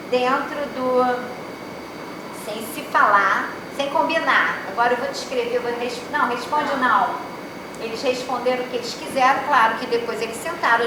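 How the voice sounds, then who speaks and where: High-voiced Brazilian woman in echoey room. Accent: Brazilian Portuguese. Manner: rambling, full of restarts and tag questions. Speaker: panelist at an academic roundtable. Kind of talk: casual chat among colleagues. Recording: very echoey room with background noise.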